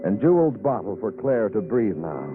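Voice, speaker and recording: Hard-boiled 1950s radio detective, brooding poetic narration, widely swinging intonation, tinny old broadcast. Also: male voice, lowish voice, very animated, normal speed, music in the background. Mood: brooding, lyrical. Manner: brooding, terse, lyrical phrasing. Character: moody, hard-boiled male police detective narrating his case. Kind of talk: 1950s radio crime drama acting, poetic clipped narration.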